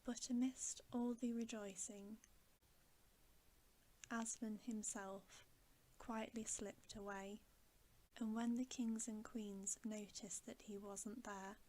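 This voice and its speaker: A woman reading a bedtime story aloud in ASMR style, softly and deliberately, often in a whisper, close to the microphone. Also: mid-range voice, poor audio. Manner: soft, hushed, often whispering, slow and deliberate. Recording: steady background hiss.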